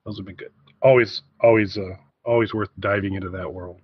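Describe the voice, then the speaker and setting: Halting man co-hosting a podcast, slightly dull audio. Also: lowish voice, steady tone, breathy edge, no echo. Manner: halting, repeats words as he goes. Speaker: podcast co-host. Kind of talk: casual podcast chat.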